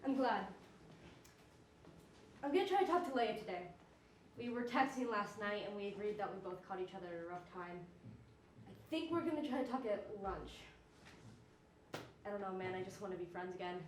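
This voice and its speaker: A woman's voice: mid-range voice, animated, a little fast, pauses often, lightly acted. A woman playing a friendly, earnest schoolgirl in an amateur stage play, talking casually. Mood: friendly, hopeful. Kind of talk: amateur stage one-act play acting. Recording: echoey, distant, faintly noisy stage recording.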